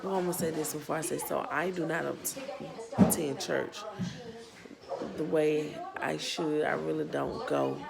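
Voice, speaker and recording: Slow gravelly Southern woman. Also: low voice. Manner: slow, earnest, halting with pauses and restarts. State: losing her voice. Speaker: nonprofit founder and parent. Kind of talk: personal vlog testimony.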